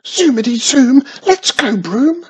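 A bouncy young witch in a storybook, boasting and squealing high as she zooms on her broom, as a storyteller puts her on. Her voice jumps all over the place. A breathy edge runs through it. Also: very animated, unhurried, darkish tone, full character voice.

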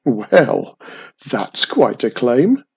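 Reader playing a wary little old man, slow, emphatic, swooping, breathy. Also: mid-range voice, very animated, darkish tone, highs cut off, full character voice. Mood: dramatic. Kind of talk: storybook reading.

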